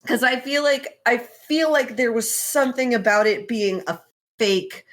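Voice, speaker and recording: A woman's voice: on the high side, measured pace. A woman hosts a casual podcast chat. She talks informally and swears freely, with a giggly manner as she retells and comments on a story. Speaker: podcast host.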